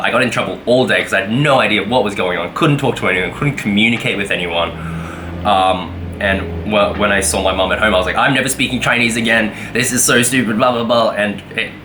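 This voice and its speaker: A man's voice: on the low side, bright, forceful tone, a little hiss or hum. A young man giving a casual weekly vlog update, rambling with lots of fillers and self-corrections. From Australia. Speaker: vlogger.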